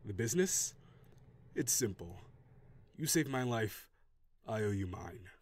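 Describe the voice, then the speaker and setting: A man voicing a proud, gruff tsundere dragon warrior in an audio roleplay, brusque and defensive with grudging sighs. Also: fairly low pitch, expressive, measured pace, darkish tone, slight background noise. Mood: grave, gruff.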